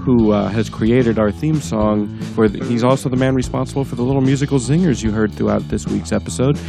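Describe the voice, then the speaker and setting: Gravelly stuffed-up guy hosting a podcast, jokey and self-deprecating, music around him. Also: medium pitch, fairly even intonation, a little fast. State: congested, under the weather. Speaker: podcast host. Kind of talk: casual comedic chat.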